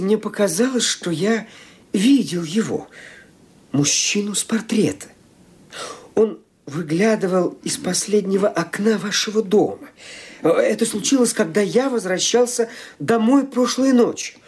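Young gentleman nephew, highly animated, rough-edged voice, hesitant, fussily polite, radio play. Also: full character voice. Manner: hesitant, halting, fussily explaining. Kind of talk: audio drama acting.

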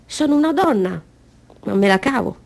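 Radio play: a proud circus woman seeking her chance, fairly high voice, insistent storyteller. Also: animated, moderate pace, gravelly, darkish tone. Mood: earnest pleading. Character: eager, proud circus-born woman pleading for an audition. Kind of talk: radio theatre monologue.

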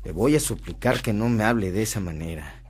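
A man's voice: fairly low pitch, animated, a little fast, a little mellow, slight background noise, natural voice. An actor playing an earnest, conscientious young man on a family farm in a radio drama, polite, restrained and firm.

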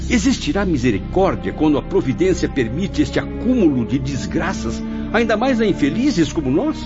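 A man's voice: fairly high pitch, very animated, normal speed. A man playing an anguished, questioning lost spirit in a radio drama, pleading theatrically in formal language. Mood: anguished, protesting. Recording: dull lo-fi audio under music.

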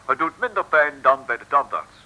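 An actor playing a pompous, politely sinister professor in an old radio serial, speaking formally with many hesitant ehs. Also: male voice, medium pitch, expressive, normal speed, sharp tone, poor audio. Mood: eagerly sinister.

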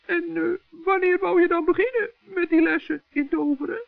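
A man voicing a good-hearted, stubborn little forest gnome in a children's radio play, squeaky, creaky and earnest. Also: moderate pace, dry recording. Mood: puzzled, doubtful. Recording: dull, dry vintage radio recording.

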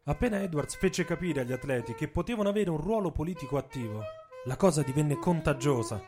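A man's voice: on the high side, animated, quick pace. A man reading scripted, dramatic narration for a radio theatre piece. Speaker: author and narrator. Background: music with instruments.

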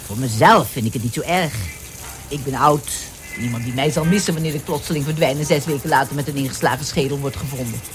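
An actress playing a suspicious, aggrieved older wife who complains and accuses, in a radio play. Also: female voice, lowish voice. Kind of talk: Dutch radio play acting.

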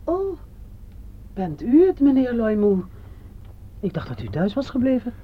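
Nosy, lively-voiced landlady. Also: a little high, slightly rough, exaggerated voice. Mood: suspicious. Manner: prying, brisk, insinuating. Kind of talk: radio drama acting. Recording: slightly dull radio play recording.

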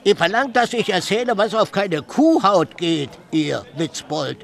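A mocking court jester, gravelly. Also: male voice, sits high, a little slow, exaggerated voice. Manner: teasing, sharp-tongued, lively. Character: quick-witted mocking male court jester. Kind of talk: radio play acting.

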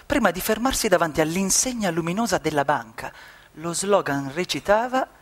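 A man's voice: sits high, expressive, normal speed. A male actor narrating a theatrical radio play in declamatory, clipped phrases.

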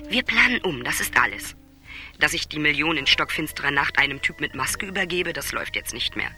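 Bossy schemer, low voice, lively intonation, confident, teasing, condescending, radio drama. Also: female voice, faint music behind, heavily acted. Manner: brisk, confident, teasingly patronising. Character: cool, scheming woman plotter, bossy and condescending. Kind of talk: German radio crime drama acting.

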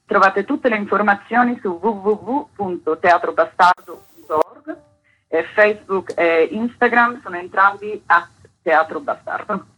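A woman's voice: medium pitch, unhurried, pushed and bright, breathy edge. A woman giving conversational interview answers as a festival co-director, heard through dull, lo-fi sound.

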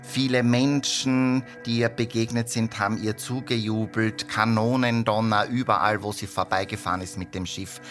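An actor playing an earnest expert guest in a radio drama interview, explaining with emphatic pauses. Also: male voice, lowish voice, steady tone, a bit bright, soft background music, close to an everyday voice.

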